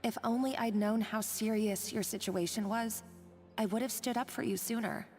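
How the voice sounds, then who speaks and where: Actress as a gentle, sympathetic coworker. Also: female voice, on the high side, fairly even intonation, moderate pace, soft background music, close to an everyday voice. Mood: apologetic, modest. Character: sympathetic, modest female cook, supportive coworker. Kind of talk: anime-style video game voice acting.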